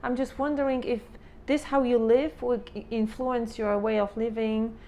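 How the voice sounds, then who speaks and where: A woman's voice: fairly high pitch, fairly even intonation, normal speed, dark tone. A woman hosting an interview, asking long, searching questions and giving frequent small acknowledgments. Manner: rambling questions, many backchannels. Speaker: interviewer host.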